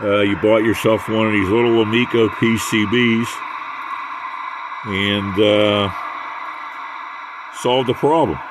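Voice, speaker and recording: An older American man giving a casual hands-on equipment demonstration in a halting, unhurried way. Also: on the low side, fairly even intonation, background noise. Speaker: radio hobbyist demonstrating equipment.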